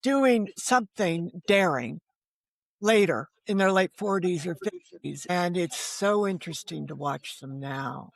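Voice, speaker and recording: A woman's voice: lowish voice, lively intonation, unhurried, vocal fry, no room sound. Older American woman, candid storyteller. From Connecticut, United States. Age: older, about 70. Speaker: novelist, interview guest.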